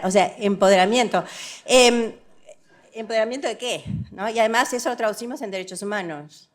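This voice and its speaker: Woman from argentina presenting at a conference, mid pitched voice, stops and restarts, drops english words in, pretty blunt. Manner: frank, emphatic, mixes English words into Spanish; halting, self-correcting, checks in with rhetorical tag questions. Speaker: conference speaker, development economist. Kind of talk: conference presentation, semi-improvised.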